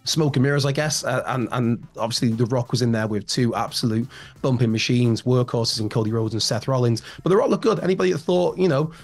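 Man, thirties, slightly raspy, hoarse, chatty news host, rambling asides, home studio mic. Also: medium pitch, fairly quick, soft background music. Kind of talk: solo news commentary. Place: home room with shelves, indoors. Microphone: large studio mic in front, headphones.

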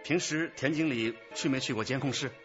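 Radio drama detective, male actor: mid-pitched man, terse, probing, slightly dull lo-fi sound. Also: fairly quick, a bit bright, soft background music, close to an everyday voice. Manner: terse, questioning. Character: probing male police detective, patient but pressing.

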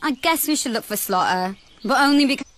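A woman's voice: high voice, animated, fairly quick, fairly bright tone, a little hiss or hum. A woman voicing a sarcastic, sullen young goth girl camper in a cartoon, with a snarky, drawling manner. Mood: grudging.

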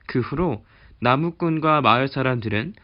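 A man reading a fairy tale aloud to children in a gentle, chatty storytelling manner. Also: medium pitch, normal speed, highs cut off. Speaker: storybook reader.

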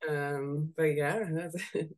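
A young woman from Seattle with a slightly raspy voice, chatting casually as a guest on a video call and laughing often as she talks. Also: deep voice, takes it slowly. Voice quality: raspy voice today. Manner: laughs often while talking, relaxed and candid. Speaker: guest, graphic designer. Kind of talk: casual interview chat. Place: home room.

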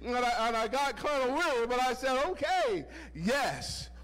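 A middle-aged man preaching a sermon from a church pulpit into a handheld mic, with a loud voice and call-and-response lines. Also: very high-pitched, very animated, a little slow. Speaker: deacon preaching. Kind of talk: sermon with sung hymn.

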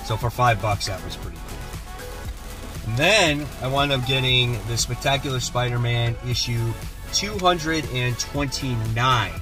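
A man in his forties hosting a casual vlog from a parked car, chatty and relaxed, filmed on a phone at arm's length. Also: medium pitch, measured pace, over music. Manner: relaxed, chatty, enthusiastic about his finds. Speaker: comic book channel host. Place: parked car, then outdoors and inside a shop. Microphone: phone camera at arm's length.

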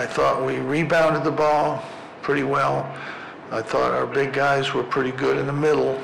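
An older man with a deeply gravelly voice fields questions as a basketball coach after a game. He speaks plainly and haltingly, circling back on his words. The podium microphone picks up a roomy press-room echo and steady background noise. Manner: halting, plain-spoken, frequent fillers and restarts. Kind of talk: postgame press conference. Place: press room at a podium before a sponsor backdrop.

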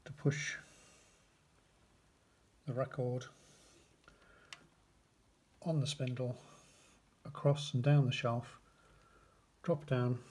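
A man's voice: mid-range voice, darkish tone. A man giving a hands-on, step-by-step demonstration in short, matter-of-fact phrases. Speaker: demonstrator of a vintage record player. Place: indoors, beside a wooden radiogram. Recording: clear background noise with mechanical clicks.

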